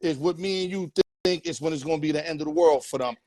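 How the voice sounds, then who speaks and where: A man's voice: on the high side, fast, warm tone, dry recording, clean studio sound. A man hosting a casual sports talk show, loose and joking, full of street slang. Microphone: headset or earpiece.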